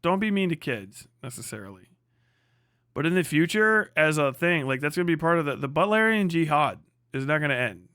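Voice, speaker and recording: A man's voice: a little high, big pitch swings, a little fast, sharp tone, clean tone, no room sound, clean studio sound. A man hosting a casual livestream, talking bluntly with lots of slang, swearing and sardonic exaggeration. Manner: sardonic, hyperbolic. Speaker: livestream host. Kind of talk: casual livestream rant, chatting with viewers.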